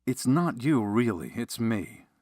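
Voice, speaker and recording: A man acts out a criminal mastermind in a modern detective radio play. He gloats smugly, his pitch moving freely. The recording is dry. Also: lively intonation, unhurried, a little mellow, no echo. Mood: coolly menacing. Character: gloating male criminal mastermind posing as medical examiner.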